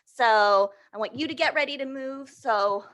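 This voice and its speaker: A woman hosting a storytime for young children, reading and leading action rhymes in a playful, encouraging, animated way. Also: sits high, measured pace. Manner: playful, encouraging, checks in with listeners.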